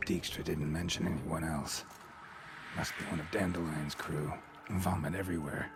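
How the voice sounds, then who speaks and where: An actor playing a stoic, world-weary monster hunter in a video game, terse and deadpan. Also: male voice, a bass voice, normal speed, very noisy. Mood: terse, observant. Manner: terse, deadpan, muttering to himself. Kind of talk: dramatic video game dialogue. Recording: background music behind the voice.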